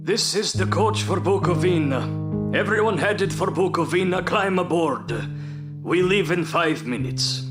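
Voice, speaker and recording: An actor playing a gruff coach driver in a gothic audio drama. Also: male voice, high-pitched, expressive, measured pace, over music. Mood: brisk, announcing. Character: gruff male coach driver warning young traveller.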